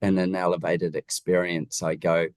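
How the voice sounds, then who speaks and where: Deep-voiced New Zealand man, emphatic talker. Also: level tone, moderate pace, dry recording. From Wellington region, New Zealand. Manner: emphatic, illustrates points with rhetorical questions. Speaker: guest, home designer. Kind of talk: conversational interview answers.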